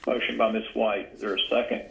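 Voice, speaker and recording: Formal low-voiced man chairing a meeting. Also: little pitch movement, fast, vocal fry, low-quality recording. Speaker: board chair presiding over a meeting. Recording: conference call audio stream.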